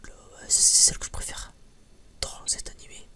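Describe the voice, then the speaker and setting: Soft whisper, breathy and unvoiced, ASMR chat, informal, hesitant, rambling. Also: normal speed. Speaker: ASMR video creator.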